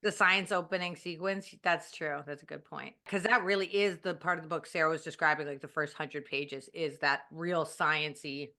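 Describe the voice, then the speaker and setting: Bright-voiced enthusiastic woman. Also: low-pitched, fairly even intonation, moderate pace, few pauses. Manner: enthusiastic, laughs often, rambling. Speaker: book club podcast member. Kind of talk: casual chat.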